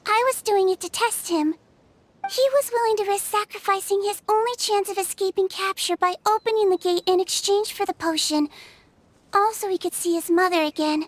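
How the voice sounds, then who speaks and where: Sweet little melusine nurse girl, super high squeaky voice, soft and calm, game cutscene. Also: moderate pace. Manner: soft, calm and kindly reassuring. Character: gentle young female melusine nurse. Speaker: head nurse.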